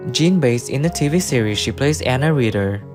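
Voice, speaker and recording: A man's voice: mid-range voice, animated, fairly quick. A man reading scripted biographical narration. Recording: music under the voice. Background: guitar music.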